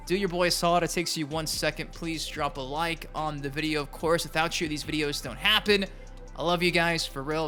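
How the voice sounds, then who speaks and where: Bright-voiced man hosting gaming news. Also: a little high. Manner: chatty, informal, emphatic, repeats words for stress. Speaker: YouTube gaming news host. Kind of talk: casual gaming news commentary. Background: background music.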